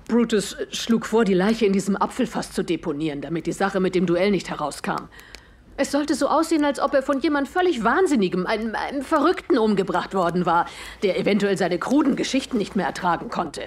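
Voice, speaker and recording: Bitter murderous widow, audio drama; husky, deliberate, defiant, cold. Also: female voice, mid-range voice, animated. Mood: cold, confessing. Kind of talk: German audio drama acting.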